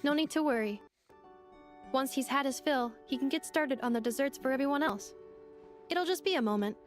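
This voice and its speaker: Loyal, earnest robot girl woman acting, fairly high voice, formal and composed, game dialogue with faint music. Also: natural voice. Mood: reassuring. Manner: measured, formal, explanatory. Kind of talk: anime-style video game voice acting.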